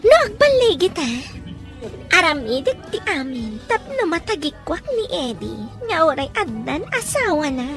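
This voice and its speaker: Woman playing a squeaky, bubbly young waitress. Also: very animated, a little slow, heavily acted. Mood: playful. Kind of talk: amateur audio drama acting. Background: music.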